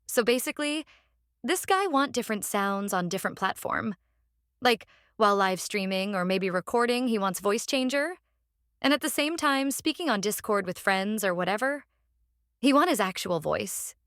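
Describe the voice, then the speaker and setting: A woman hosting a casual step-by-step software tutorial, instructing plainly and often checking in with the listener. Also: medium pitch, animated, moderate pace, clear, resonant tone, no echo, clean studio sound. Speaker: tutorial host.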